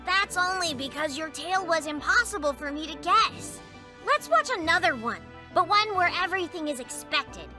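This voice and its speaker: Very high, cheeky cartoon boy. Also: big pitch swings, normal speed, pushed and bright, heavily acted. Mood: impatient. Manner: flippant, boastful interjections. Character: cheeky young cartoon boy, eager and impatient. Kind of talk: animated children's series dubbing. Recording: music behind the voice.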